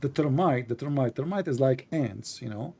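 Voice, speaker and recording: Dark-voiced man, teasing debater. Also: medium pitch, lively intonation, normal speed, vocal fry, slightly rough. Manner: teasing, sarcastic, questioning in plain broken English. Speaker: debate host. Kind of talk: live online debate, conversational.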